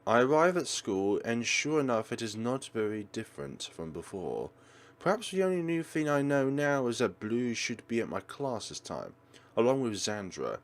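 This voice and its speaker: A man's voice: mid-range voice, very animated, normal speed. A man reading a visual novel aloud with dramatic delivery, adding his own commentary as a let's player.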